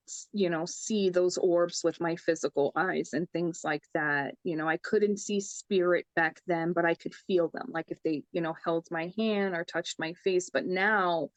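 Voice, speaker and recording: Low-voiced New York woman, earnest storyteller. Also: dry recording. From the Bronx, New York. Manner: earnest, storytelling, says "I says" for past talk. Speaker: psychic medium guest. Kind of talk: casual interview chat.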